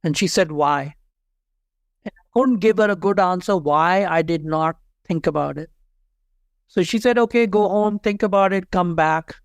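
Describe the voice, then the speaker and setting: Man, Pakistani accent, fifties, earnest, repeats key points, crisp resonant tone, high pitch. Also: normal speed, no echo. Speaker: guest, HR leader and author. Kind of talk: interview storytelling.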